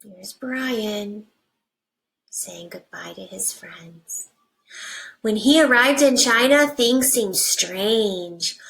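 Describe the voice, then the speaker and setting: A woman teacher reading a picture book aloud to young children, with simple deliberate phrasing and hushed asides. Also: mid-range voice, creaky, poor audio. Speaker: first grade teacher.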